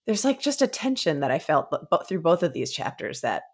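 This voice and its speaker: A woman's voice: sits low, sing-song, brisk, husky. A woman in her thirties co-hosting a book discussion, talking casually and searching for her words. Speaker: book club podcast co-host. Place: indoor room, remote video call.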